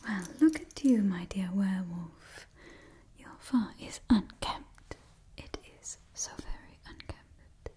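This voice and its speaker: A woman in her twenties whispering close to the microphone in a slow, hushed ASMR style, softly repeating words. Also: medium pitch, lively intonation. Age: 20s. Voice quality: whispery, breathy. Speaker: ASMR host. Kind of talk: ASMR role-play and sponsor read.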